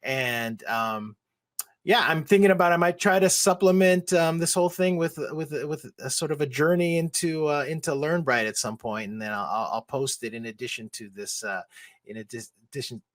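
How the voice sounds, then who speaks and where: A man's voice: fairly high pitch, normal speed, a bit bright. A man, hosting an online talk show in a loose, chatty way with many ums and restarts. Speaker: host.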